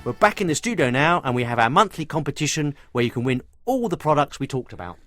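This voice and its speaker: A man co-hosting a comedy podcast who wraps up the show in a brisk, upbeat way. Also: fairly high pitch, very animated, a bit bright, soft background music. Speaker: co-host. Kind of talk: wrapping up a podcast.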